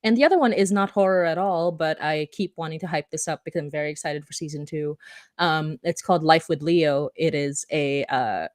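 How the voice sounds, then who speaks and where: A woman's voice: deep voice, fairly quick, no room sound, clean studio sound. A woman hosting a live podcast panel in a casual, informal and effusive way.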